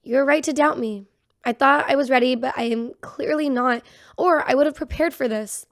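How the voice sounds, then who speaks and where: A woman's voice: high voice, moderate pace. A woman playing a young doctor in a sci-fi audio drama, earnest and unsure of herself. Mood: self-doubting. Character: earnest, self-doubting young female doctor.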